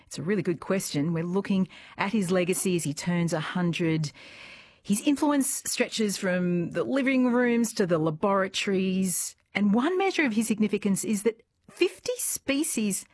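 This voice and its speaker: A woman's voice: mid-range voice, animated, normal speed, a little mellow, dry recording. A woman with an Australian accent hosting a conversational live radio interview. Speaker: radio host.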